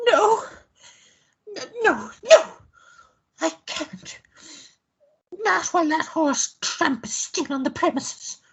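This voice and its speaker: Woman acting a high-pitched, jealous woman. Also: very animated, slow pace, gravelly, pauses often, full character voice. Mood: indignant. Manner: indignant, melodramatic. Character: jealous, sharp-tongued woman scolding her unfaithful lover. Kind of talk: radio play acting.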